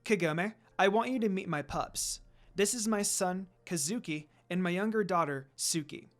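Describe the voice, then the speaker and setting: A reader voicing a gentle, courteous demon noblewoman. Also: medium pitch, moderate pace, soft background music, close to an everyday voice. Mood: proud, welcoming. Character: demon noblewoman mother, gentle, grateful, proud of castle.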